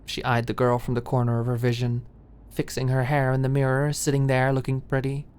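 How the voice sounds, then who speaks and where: Woman w really deep voice reading a romance audiobook, asmr style. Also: monotone, fairly quick, darkish tone, clean tone. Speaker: audiobook narrator. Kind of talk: scripted romance story narration, ASMR audiobook.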